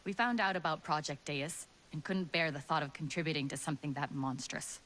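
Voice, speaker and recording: An actress playing a tough, sardonic rebel leader in a video game, wry and resolute. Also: female voice, low-pitched, a little fast, a bit bright, poor audio. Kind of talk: video game cutscene acting.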